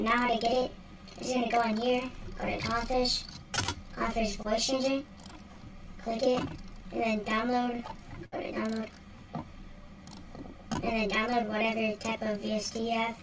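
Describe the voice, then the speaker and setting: A child or young teen giving a casual software tutorial in an offhand, slangy way. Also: mid-range voice. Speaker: tutorial presenter. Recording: distant mic with clear background noise.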